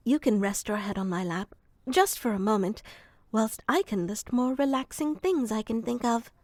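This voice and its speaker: A woman playing an earnest young moth girl who helps people sleep, speaking softly and deadpan, sometimes whispering, close to the mic in an ASMR roleplay. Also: on the high side, slight background noise, lightly acted. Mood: gently deadpan. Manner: soft, slow and deadpan, slips into whispers.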